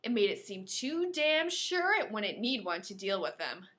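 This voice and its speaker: A woman co-hosting a podcast, reading a novel aloud in an animated, playful way and breaking off for casual banter. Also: medium pitch, normal speed. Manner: playful, dramatic, often breaks off to comment.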